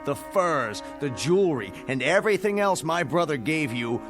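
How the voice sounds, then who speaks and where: An actor in a radio drama playing a stern, vengeful scientist with a measured, formal, sardonic delivery. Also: male voice, a little high, very animated. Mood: scornful, stern. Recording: music bed under the voice.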